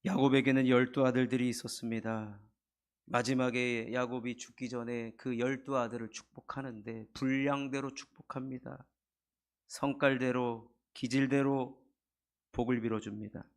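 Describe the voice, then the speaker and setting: A middle-aged man preaching a Sunday sermon in a church, his voice hoarse and husky from a cold, building earnest emphasis. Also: mid-range voice, level tone, normal speed. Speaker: pastor. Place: church sanctuary.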